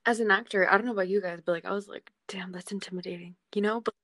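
A woman's voice: mid-range voice, fairly even intonation, rapid-fire, a bit bright, no room sound, studio quality. A woman co-hosting a podcast in casual, slangy chat about a TV series. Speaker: podcast co-host.

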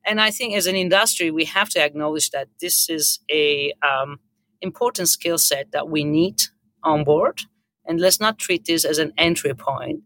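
Bright-voiced Turkish woman in discussion. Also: deep voice, normal speed. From Turkey. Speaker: hotel executive on a panel. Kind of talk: unscripted panel discussion.

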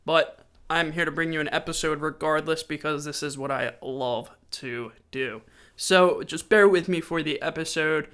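A man's voice: on the high side, moderate pace, pushed and bright, clean tone. A man with a stuffy, sore-throated voice hosting a casual sports podcast, running through game stats conversationally. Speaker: podcast host.

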